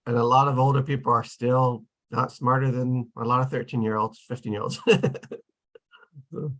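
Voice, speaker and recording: A man's voice: mid-range voice, flat delivery, normal speed, a bit bright. A man in his forties in a casual group chat, repeating words and joking as he goes. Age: 40s.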